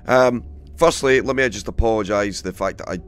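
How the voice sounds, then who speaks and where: A man with a cold, hoarse and gravelly, casually commentating a game he plays and swearing freely. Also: fairly low pitch, normal speed. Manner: swears freely, rambling and chatty. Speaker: let's play host.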